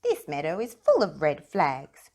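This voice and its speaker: A woman voicing a young male locomotive in a storybook, eager, playful and quick to get cross, with childlike exclamations. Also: sits low, wildly swooping pitch, mellow, heavily acted. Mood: frustrated.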